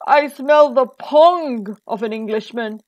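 A woman voicing a huge, grumpy but secretly kindly male giant in a children's storybook, loud and declamatory. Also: fairly high pitch, slow pace, dry recording, dull audio. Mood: roaring, menacing.

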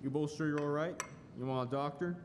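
A man's voice: fairly high pitch, brisk, noisy background, natural voice. A man playing a wary, baffled bridgekeeper in a stage radio play. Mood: puzzled. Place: school auditorium stage.

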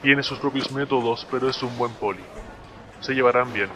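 A man playing a busy, businesslike police captain in a game dub, brisk and authoritative, with a Latin American Spanish accent. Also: mid-range voice, steady tone, lightly acted. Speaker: voice actor in a game fan dub. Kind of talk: dramatic dubbed dialogue. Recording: noisy office ambience behind.

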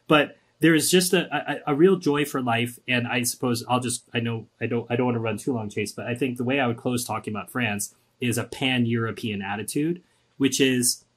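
A man's voice: medium pitch, slightly rough. A man from Singapore, a podcast guest, talking casually and acting out quoted people with voices. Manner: animated, acts out quotes with voices. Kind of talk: podcast interview, casual storytelling.

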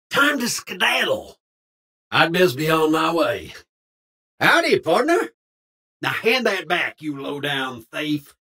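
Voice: medium pitch, a bit bright, slightly rough, heavily acted. A voice actor playing a feisty folksy cowboy in a video game, speaking in an exaggerated frontier drawl full of old Western slang. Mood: indignant. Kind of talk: video game character voice lines.

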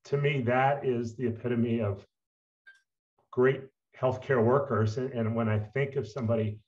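A middle-aged man tells a heartfelt story over a video call. His voice sits at an ordinary pitch. The call audio sounds dull and slightly muffled. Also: fairly even intonation, normal speed, darkish tone. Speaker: guest.

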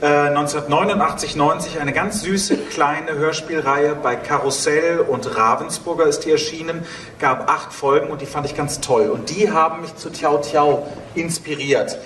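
A man's voice: on the high side, moderate pace, fairly bright tone. A man in his thirties, a producer of audio dramas, talking on a panel on stage. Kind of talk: panel talk. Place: on stage in a dark event hall. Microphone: handheld microphone, heard through room. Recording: roomy hall sound, distant, faint noise.

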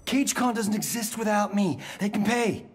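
An actor playing a cocky, wisecracking movie-star fighter in video game banter, brash and sarcastic. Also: male voice, high voice, expressive, moderate pace, breathy edge. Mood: cocky taunting.

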